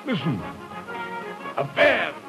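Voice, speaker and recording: An actor playing a pompous, boastful, excitable gentleman with an English accent in a 1950s radio drama. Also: a little high, slow, music in the background, full character voice. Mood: excited. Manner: pompous, blustering.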